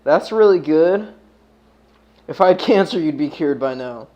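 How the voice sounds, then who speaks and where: A man's voice: on the high side, animated, measured pace, darkish tone, highs cut off. An American man chatting casually as he hosts a livestream, slangy and swearing freely, with a laid-back stoner way of talking. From United States. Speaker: livestream host.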